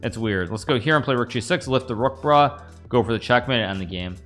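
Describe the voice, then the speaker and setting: Lively American man streaming chess. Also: medium pitch, brisk, faint music behind. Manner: thinks aloud while playing, answers chat questions. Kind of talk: casual live-stream commentary.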